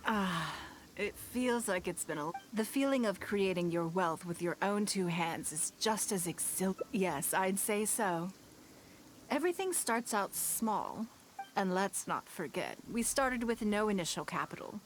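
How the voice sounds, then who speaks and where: A woman voicing a poised, shrewd, wealthy noblewoman in a video game, speaking in a composed, formal, measured way. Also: medium pitch, lively intonation, slight background noise, close to an everyday voice. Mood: composed, confident. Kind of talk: scripted video game character dialogue. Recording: game music behind the voice. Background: game music.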